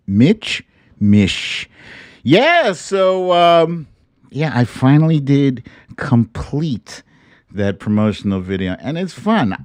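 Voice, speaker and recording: American man, fifties, livestream host, jokey and theatrical, very lively intonation, close dry sound, casual chat. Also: lowish voice, dry recording. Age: 50s. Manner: playful, jokey, theatrical delivery with drawn-out words. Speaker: host of a live online show.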